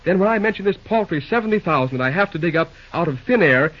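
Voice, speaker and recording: Young railroad superintendent, high-pitched, harried, exclamatory, old radio serial, dull recording. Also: male voice, animated, quick pace. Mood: exasperated. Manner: exclamatory, grumbling, stammering.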